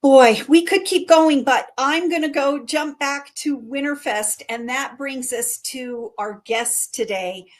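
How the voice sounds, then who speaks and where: Brief: middle-aged woman, community livestream host, enthusiastic promo delivery, higher-pitched voice. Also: slow pace, few pauses. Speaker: chamber of commerce director hosting a live show.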